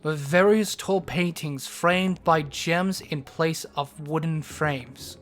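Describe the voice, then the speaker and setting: A man narrates a scripted fantasy tale at a slow, unhurried pace. His voice sits a little high for a man, and music plays faintly behind him. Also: fairly bright tone.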